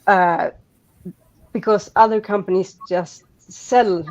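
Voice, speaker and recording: Woman, Swedish accent, slow, lowish voice, halting and rambling, dull lo-fi call audio. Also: steady tone. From Sweden. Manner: halting, many restarts and fillers, rambling. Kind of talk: casual group chat.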